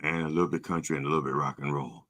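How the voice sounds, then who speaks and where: A hoarse man hosting a comedy podcast as a mock reverend, rambling, profane and interrupting himself. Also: very deep voice, fairly even intonation, rapid-fire, a bit bright.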